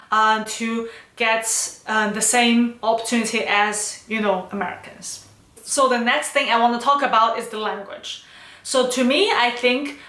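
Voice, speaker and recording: A woman's voice: on the high side, fairly bright tone, reverberant. A woman from China with a Chinese accent, giving chatty advice in a casual vlog, often tagging her sentences with "you know" and "right?". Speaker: vlogger.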